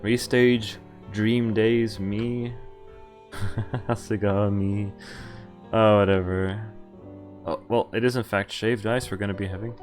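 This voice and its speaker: A man's voice: on the low side, steady tone, slow pace, pauses often. A man reads a visual novel aloud over game music. He slips between reading the script and chatty, informal asides of his own, joking as he goes. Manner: informal, breaks off reading to joke and comment. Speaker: streamer playing a visual novel.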